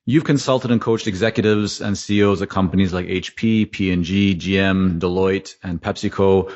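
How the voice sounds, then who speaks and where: A man's voice: sits low, steady tone, normal speed, darkish tone. A man hosting a podcast, asking long, winding questions of his guest. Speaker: podcast host. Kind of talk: interview questions. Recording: dull, muffled sound.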